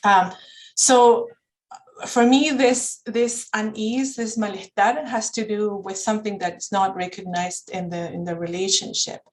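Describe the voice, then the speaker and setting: A woman's voice: medium pitch, steady tone, unhurried, poor audio. A woman who speaks Spanish as her first language, talking as a counselor on a panel in a thoughtful way, often searching for words and restarting. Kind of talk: reflective conversational talk. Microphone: video call.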